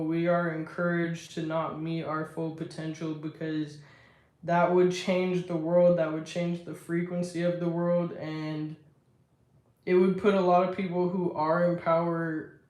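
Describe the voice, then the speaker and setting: A young woman with a deep, strong voice, chatting casually on a live stream in a reflective, self-assured way. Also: a little mellow. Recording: echoey room.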